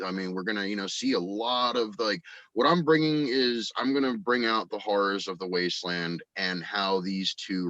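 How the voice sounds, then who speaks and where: Man, lower voice, halting casual talk, informal and sweary, muffled lo-fi audio. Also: fairly bright tone, no room sound. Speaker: podcast guest, audio drama writer and actor. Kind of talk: casual chat, brainstorming stories. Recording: dull, lo-fi, dry audio.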